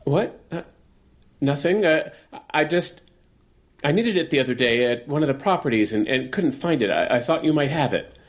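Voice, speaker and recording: A woman's voice: low voice, animated, moderate pace. A woman playing a stressed, volatile father with an agitated, stammering delivery in an audio horror drama. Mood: remorseful, rattled.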